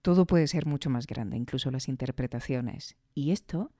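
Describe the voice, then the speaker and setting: A woman's voice: extremely low pitch, normal speed, dark tone, no echo, studio quality. A woman hosting a podcast, giving reflective commentary with rhetorical questions and emphatic repetition. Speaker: podcast host. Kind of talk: reflective commentary on audio fiction.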